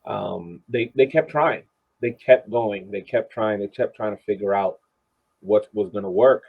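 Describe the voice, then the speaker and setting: A man chatting casually as co-host of a soccer fan podcast over a narrow phone-like connection, halting and repeating words as he thinks aloud. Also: lowish voice, gravelly, breathy edge.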